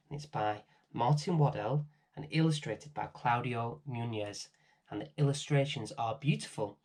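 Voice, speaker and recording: A middle-aged man hosting and reading a children's bedtime story in a gentle, expressive way. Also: mid-range voice. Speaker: storybook reader and host.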